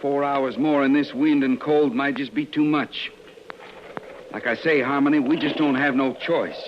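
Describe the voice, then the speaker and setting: A man's voice: mid-range voice, fairly even intonation, moderate pace, lightly acted. A man acting a stubborn, plain-spoken frontier settler in a 1950s radio western, worried but firm, with folksy rural phrasing. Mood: grim, firm. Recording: muffled, noisy old radio recording.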